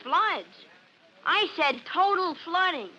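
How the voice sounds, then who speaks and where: A performer playing a cocky, excitable know-it-all schoolboy, eager and boastful, in 1950s radio drama style. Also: extremely high pitch, takes it slowly, exaggerated voice. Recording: muffled, noisy old radio recording.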